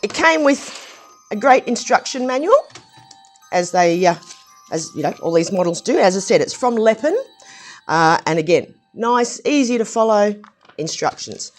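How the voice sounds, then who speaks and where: A woman with a slightly croaky voice, chatting casually through a hands-on review of a building set, with plenty of ums and restarts. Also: mid-range voice, expressive, normal speed, faint music behind. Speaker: brick set reviewer, channel host.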